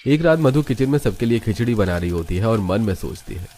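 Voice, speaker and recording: A man's voice: on the low side, animated, a little fast, mellow, slight background noise. A man narrating a scripted story as its narrator.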